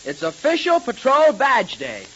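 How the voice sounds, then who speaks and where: A young-sounding male actor playing an eager, excitable boy sidekick in a 1930s radio serial. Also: very high voice, a little slow, breathy edge, heavily acted. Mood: earnest, eager. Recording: muffled, noisy 1930s radio recording.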